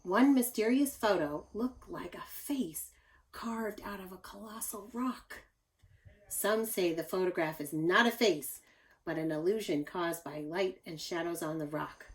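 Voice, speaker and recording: Female storybook reader for young children, teacherly and expressive, speaking directly to her listeners. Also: fairly low pitch, unhurried. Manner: animated, addresses young listeners with asides. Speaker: teacher reading aloud to children. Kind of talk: expressive picture-book read-aloud.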